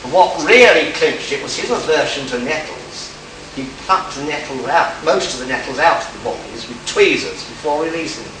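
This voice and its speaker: A man's voice: a little high, lively intonation, normal speed, close to an everyday voice. A man acting a smug, hard-boiled space detective in an amateur live radio play, declaiming and stumbling over the script. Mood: smug, triumphant. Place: live convention stage, indoors. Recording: distant, echoey, noisy hall recording.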